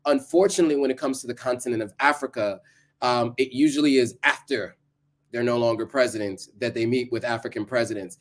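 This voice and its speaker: A man hosting a live stream, talking through a presentation and answering viewer comments in a casual, halting way with many ums. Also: mid-range voice. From United States. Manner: conversational, many ums and restarts. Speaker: livestream host.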